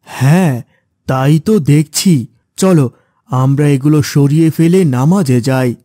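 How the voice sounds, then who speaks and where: A man playing a kind, pious father in a cartoon, calm and instructive in manner. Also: fairly high pitch, takes it slowly, no room sound, clean studio sound. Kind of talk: cartoon voice acting.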